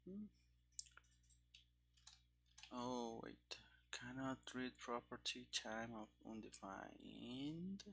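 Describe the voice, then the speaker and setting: Slow-talking man thinking aloud. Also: medium pitch, animated, gravelly, fairly bright tone, breathy edge. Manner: muttering, thinking aloud in fragments. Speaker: programmer streaming game development. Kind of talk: casual live-coding commentary.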